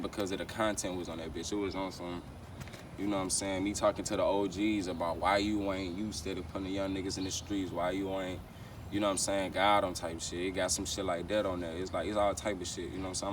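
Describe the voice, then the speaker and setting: A man's voice: low voice, level tone, fast. A young man, a rapper chatting casually in street slang in an interview, rambling and repeating his phrases. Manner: rambling, repeats phrases, frequent "you know what I'm saying". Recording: distant mic, clear outdoor traffic noise.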